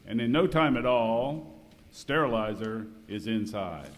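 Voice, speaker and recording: A man narrating an amateur radio skit from a script in dramatic, drawn-out announcer fashion. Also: mid-range voice, expressive, natural voice. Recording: noisy reverberant hall, live recording.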